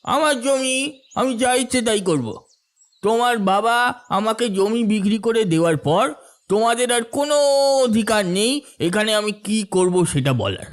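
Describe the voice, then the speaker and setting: A man's voice: high voice, expressive, unhurried. A man acting as an arrogant, bullying landowner in a cartoon, taunting and boastful. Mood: defiant. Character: arrogant bullying male landowner with political connections. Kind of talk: cartoon story dialogue.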